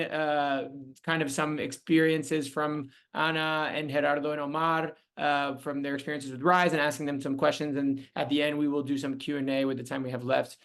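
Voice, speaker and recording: Guy running a webinar on a video call, slightly high voice, gravelly, lots of ums. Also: a bit bright. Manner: friendly, many ums and uhs. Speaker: program manager hosting an online info session. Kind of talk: webinar presentation.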